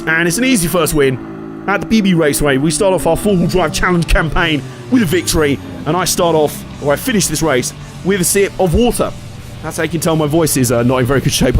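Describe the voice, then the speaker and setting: A man with a somewhat high voice, a bit hoarse from a cold, chatting through a racing game. He talks loosely, joking and swearing as he reacts to each corner. Game music plays clearly underneath. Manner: chatty, jokey, sweary, reacting aloud to the race. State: slightly hoarse, coming down with a cold. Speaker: gaming channel host. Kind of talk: casual racing game commentary.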